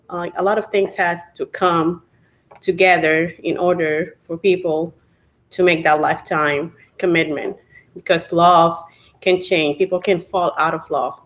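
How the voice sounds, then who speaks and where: A woman from South Sudan, a panelist, answering plainly over a video call with some "um"s. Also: on the low side. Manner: straightforward, with "um" fillers.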